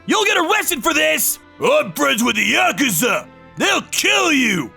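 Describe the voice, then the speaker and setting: A man voicing panicked young male thugs in a manga dub, frantically shouting and whining in a strained, screechy voice. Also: very high voice, very animated, a little slow, creaky, faint music behind, voice pushed up, heavily acted. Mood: outraged, scared.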